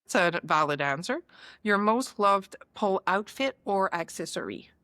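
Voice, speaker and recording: Brisk French Canadian woman host. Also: medium pitch, expressive, sharp tone, no room sound, clean studio sound. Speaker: podcast host. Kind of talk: quick-fire interview questions.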